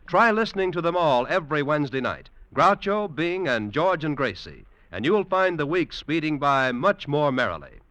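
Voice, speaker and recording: A man's voice: a little high, sing-song, normal speed, hardly any pauses, a bit bright, dry recording. A man with an American accent reading scripted announcements in an old-time radio announcer style.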